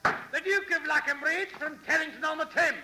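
An actor playing a haughty, formal butler who announces party guests pompously in an old radio comedy. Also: male voice, very high voice, moderate pace, bright, forceful tone, exaggerated voice. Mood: grandly announcing. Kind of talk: 1940s radio comedy acting. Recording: noisy old radio recording.

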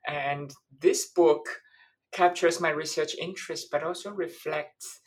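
A woman's voice: low-pitched, steady tone, unhurried. A woman from Taiwan, a professor and author, giving thoughtful interview answers that list ideas, with frequent restarts.

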